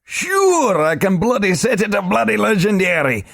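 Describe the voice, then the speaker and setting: A shrill, furious little minder. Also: male voice, very animated, a little slow, vocal fry, breathy edge, no room sound, studio quality, heavily acted. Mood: exasperated. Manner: shouting, spits the same curse constantly. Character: small irate male sphere minder, swearing.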